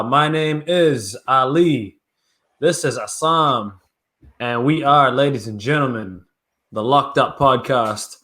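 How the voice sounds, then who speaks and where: A young man co-hosting a sports podcast, talking basketball in loud, playful, animated banter. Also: fairly high pitch. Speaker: podcast co-host.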